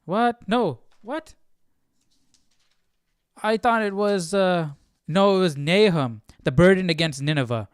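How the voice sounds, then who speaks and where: Male Bible study streamer. Smooth, slightly high voice, halting casual commentary, close dry mic. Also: no room sound. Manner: halting, thinking aloud. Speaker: Bible study livestream host.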